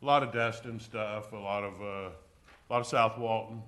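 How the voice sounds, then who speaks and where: A man with a deep, low voice chairs a local public meeting. He speaks plainly and in a folksy way, calling motions and reminiscing casually. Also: steady tone, moderate pace, a bit bright. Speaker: committee chair.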